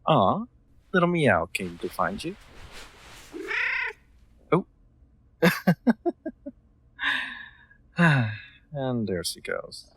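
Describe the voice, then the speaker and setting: A man playing a kind, soft-spoken master in an intimate comfort roleplay, gently reassuring with formal, slightly hesitant phrasing. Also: mid-range voice, big pitch swings, takes it slowly, breathy edge, full character voice. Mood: playful, fond.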